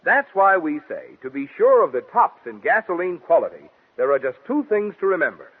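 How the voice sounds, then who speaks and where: A man announcing on 1940s radio in a polished, emphatic, measured commercial style. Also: a little high, very animated, breathy edge. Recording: tinny 1940s radio broadcast.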